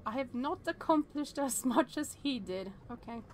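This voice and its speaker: A woman in her thirties talks through a visual novel on stream, her voice sitting fairly high. She thinks aloud, trailing off and restarting her sentences as she goes. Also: expressive, normal speed. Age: 30s.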